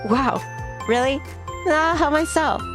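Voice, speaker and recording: A woman's voice: on the high side, very animated, normal speed, over music. A woman voicing an eager, grateful girl houseguest in a visual novel, gushing with enthusiasm. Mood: delighted. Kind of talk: visual novel character read-aloud.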